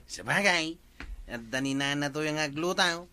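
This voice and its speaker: A man's voice: a little high, moderate pace, bright, forceful tone. A man playing a jolly village drinking buddy in an Ilocano comedy drama, with an Ilocano accent. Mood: easygoing.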